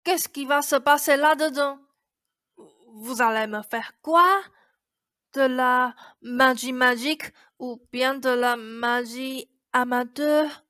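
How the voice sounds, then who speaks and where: Woman playing stubborn young Cinderella, high and slow. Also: bright, forceful tone, smooth, exaggerated voice. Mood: wary. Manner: dry, stubborn, guarded. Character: sullen, skeptical young Cinderella who challenges her fairy. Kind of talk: stage-like radio play acting.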